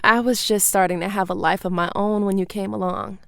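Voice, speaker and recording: An actress playing a principled small-town police chief and protective older sister in an audio drama, speaking firmly and earnestly. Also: female voice, medium pitch, fairly even intonation, fairly quick, vocal fry, a bit bright, clean tone, no room sound, clean studio sound. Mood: reminiscing. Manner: earnest, firm. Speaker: actor.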